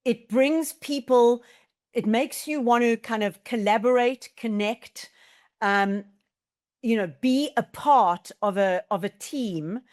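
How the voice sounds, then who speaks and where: A middle-aged woman speaks with a South African accent in a medium-pitched voice. She talks as an interview guest, explaining her ideas conversationally and repeating phrases for emphasis. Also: darkish tone. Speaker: podcast guest, team-building business leader.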